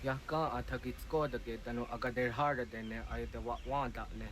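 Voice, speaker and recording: A man's voice: medium pitch, fairly even intonation, a little fast, a bit bright, a little hiss or hum, natural voice. An actor playing a serious man in a historical adventure video game. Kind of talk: dramatic video game voice acting.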